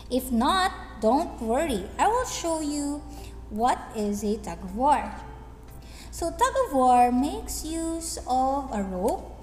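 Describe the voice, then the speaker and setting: Lady teacher explaining stuff slowly, really animated high voice, echoey with soft music. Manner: deliberate, instructive, with check-in questions. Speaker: English teacher. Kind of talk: explanatory lesson with storybook reading. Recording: echoey hall-like room, faint music behind.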